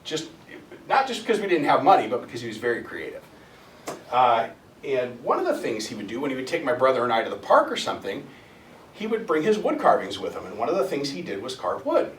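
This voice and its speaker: A man in his fifties giving a halting, earnest storytelling talk as a guest speaker at a spiritual center. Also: medium pitch, huge pitch swings, fairly bright tone, slight background noise. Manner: halting, many restarts and fillers, earnest. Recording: echoey room, mic at a distance, faint ambience.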